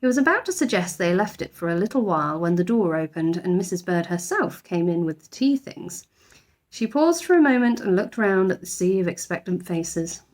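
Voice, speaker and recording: Woman reading a children's story, very lively. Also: fairly low pitch, moderate pace. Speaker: teacher reading aloud. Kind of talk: expressive children's storybook narration.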